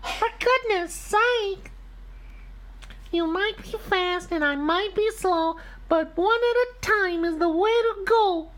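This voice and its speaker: A performer voicing a small, kindly, earnest little truck from a storybook in a squeaky sing-song. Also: takes it slowly, a bit bright, heavily acted, poor audio. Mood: firm, pleading.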